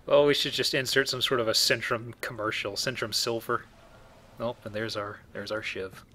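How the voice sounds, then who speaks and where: A man's voice: mid-range voice, fairly even intonation, normal speed, bright, forceful tone, a little hiss or hum. A man hosting a let's play with casual, explanatory game commentary and joking asides.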